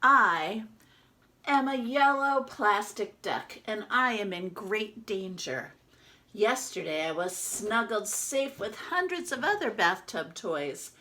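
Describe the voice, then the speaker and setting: Storybook toy duck, small, timid and lonely, read by a woman. Bright voice, unhurried, expressive delivery. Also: medium pitch. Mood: wistful storytelling. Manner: expressive, dramatic storybook narration. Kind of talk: children's picture book read-aloud.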